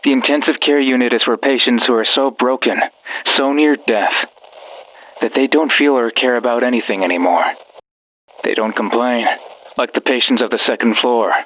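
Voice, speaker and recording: A man's voice: mid-range voice, moderate pace, a bit bright, muffled recording, lightly acted. A man voicing a sinister, coaxing friend who guides the listener in a horror visual novel, with an ominous, darkly sardonic manner. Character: sinister, coaxing male voice of a friend over a radio.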